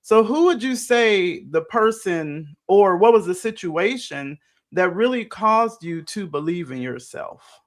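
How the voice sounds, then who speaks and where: A woman's voice: mid-range voice, animated, unhurried. A woman hosting a coaching interview, enthusiastically affirming her guest's answers before asking the next question. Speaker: host, life coach.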